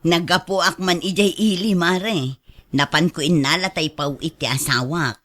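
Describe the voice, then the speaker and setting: A woman's voice: fairly low pitch, steady tone, unhurried, fairly bright tone. A woman playing a boastful, condescending village mother in a radio drama, with a haughty, showy manner. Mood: smug.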